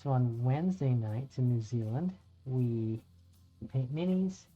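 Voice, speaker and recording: A man's voice: medium pitch, measured pace. A middle-aged man chatting casually on a live stream while painting miniatures, rambling and swearing now and then as he talks to viewers. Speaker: streamer and dungeon master. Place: home room by a window. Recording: dull lo-fi audio with faint music.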